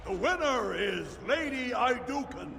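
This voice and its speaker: Slow ceremonial arena announcer, echoing. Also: male voice, extremely high pitch, sing-song, vocal fry, exaggerated voice. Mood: grand, ceremonial. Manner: ceremonial, declamatory. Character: formal, booming dwarf arena master of ceremonies. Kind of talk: fantasy video game voice acting. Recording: reverberant arena with music behind.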